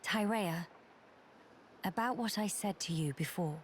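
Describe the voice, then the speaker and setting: Woman playing a slow-spoken, regal young heiress. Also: medium pitch, a little hiss or hum, natural voice. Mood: earnest, gentle. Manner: formal, stately, measured. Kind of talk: video game cutscene acting.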